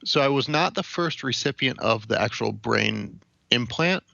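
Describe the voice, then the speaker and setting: A young American man from Ohio giving measured, explanatory answers as an interview guest. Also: mid-range voice, animated, creaky, sharp tone, no room sound, lo-fi sound. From Ohio, United States. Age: young adult.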